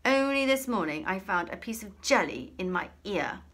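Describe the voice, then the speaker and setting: A woman voicing a pompous, furious headmistress who barks and booms her orders. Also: sing-song, normal speed, fairly bright tone. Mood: stern. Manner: barking, booming, curt. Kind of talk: storybook read-aloud.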